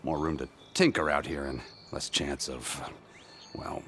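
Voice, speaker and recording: A man's voice: very deep voice, steady tone, measured pace, fairly bright tone, slight background noise. A man playing a chatty, good-natured rustic tinkerer in a video game, rambling and mildly grumbling in a folksy way. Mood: chatty, amiable.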